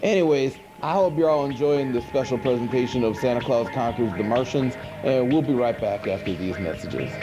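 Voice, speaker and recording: A man playing a goofy, good-natured monster who hosts a horror movie show, rambling casually and hesitantly with deadpan asides. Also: a little fast, few pauses, over music, lightly acted. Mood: matter-of-fact. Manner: rambling, hesitant, laid-back deadpan asides with pitch swoops. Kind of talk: casual comic hosting monologue. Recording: dull noisy 1980s VHS tape.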